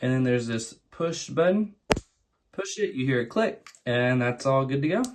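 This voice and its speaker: A man's voice: mid-range voice, animated, normal speed, a little hiss or hum. A man hosting a casual product unboxing, explaining things plainly, step by step. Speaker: unboxing video host.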